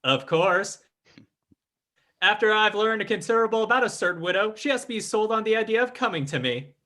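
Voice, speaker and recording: A man acts out an imperious phony mystic from an old radio crime play. His voice swings widely and has a forceful edge. He speaks formally and grandly, like one who expects to be obeyed. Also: sits high, expressive, brisk. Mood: pleased, scheming. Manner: pompous, formal, commanding. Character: sly, imperious male fake psychic and crime boss. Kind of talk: old-time radio drama acting.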